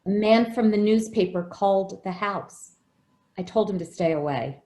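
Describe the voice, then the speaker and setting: A woman's voice: mid-range voice, normal speed, dark tone, natural voice. A woman playing a caring, motherly but firm nanny in an old-time radio play. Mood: worried. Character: caring, protective nanny to a young girl. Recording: echoey room with noise and clicks.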